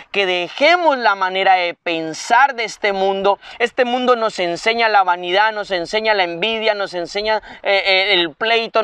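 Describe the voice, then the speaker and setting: A man preaching a sermon, teaching from the Bible and slowing down to quote verses, with repeated phrases that build up. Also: high voice, fairly bright tone, clean tone, no echo, distant mic. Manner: explains word by word, slows to quote scripture.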